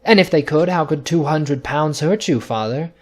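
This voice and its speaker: A man's voice: fairly high pitch, normal speed, darkish tone, natural voice. A man voicing an elderly mother in a storybook drama, emphatic and anxious in manner. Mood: amused, dismissive. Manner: emphatic, anxious, breathless when alarmed. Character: elderly mother, cheerful then frantic with worry.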